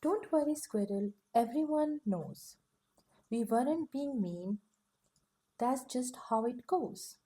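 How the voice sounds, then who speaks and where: Mellow lady reading a bedtime book, lively sing-songy pitch, kinda high. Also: slow pace. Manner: soft, soothing, sing-song rhythm. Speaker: storyteller. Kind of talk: gentle bedtime story read-aloud.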